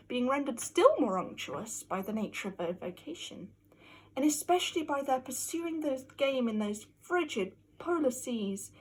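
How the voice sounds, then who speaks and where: A woman with a high, husky voice performs a dramatic reading of classic literature. Her delivery is theatrical and mock-scholarly, with lively, sweeping intonation and strong emphasis. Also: rough recording. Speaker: amateur reader.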